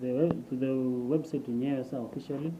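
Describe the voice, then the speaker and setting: Co-host echoing steps, muffled. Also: male voice, medium pitch, fast. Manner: echoes and confirms each step, joking asides. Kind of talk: casual tutorial walkthrough. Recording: dull lo-fi sound with steady noise.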